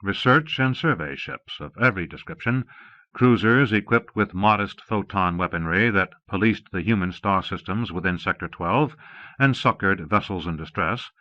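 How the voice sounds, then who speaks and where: Casting: male audiobook narrator, lowish pitch, measured formal read, dry lo-fi cassette sound. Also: expressive, bright, forceful tone, no echo. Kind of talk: scripted narration of a science fiction novel.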